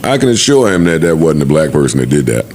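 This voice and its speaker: Deep american dude on a podcast, blunt, repeats himself to drive it home, bit of background noise. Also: male voice, sing-song, fast talker, a little mellow. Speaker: podcast host. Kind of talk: casual opinionated panel chat.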